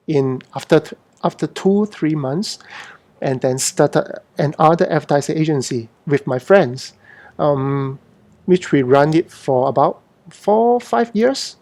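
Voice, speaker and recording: A man's voice: fairly high pitch, darkish tone. A middle-aged man from Hong Kong who later lived in Singapore, talking informally and unscripted as an artist hosting an online Q&A, often repeating and correcting his words. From born in Hong Kong, moved to Singapore as a teen. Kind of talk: informal unscripted livestream talk.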